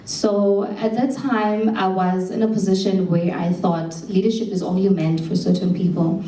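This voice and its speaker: A young woman with a South African accent speaks earnestly, pausing to restart her thoughts. Her voice is mellow and unhurried. She is heard from a distance in an echoing hall over a faint low hum. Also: steady tone. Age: young adult. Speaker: program alumna and speaker. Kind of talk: speaking on stage at a showcase. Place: event hall.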